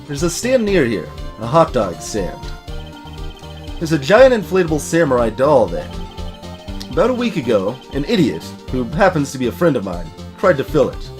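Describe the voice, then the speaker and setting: A let's play host voicing a level-headed young man, a defense lawyer with a measured, wry manner. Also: mid-range voice, wildly swooping pitch, darkish tone, faint music behind, pitched below the performer's own voice. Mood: explanatory.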